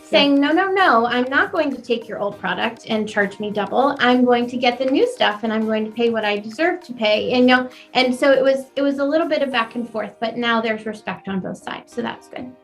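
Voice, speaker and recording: A woman in her forties, a florist and business owner, telling her story in a chatty, animated way with a young-sounding voice. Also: fairly high pitch, fairly quick, few pauses, soft background music. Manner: chatty and animated, laughs easily. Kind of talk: conversational interview.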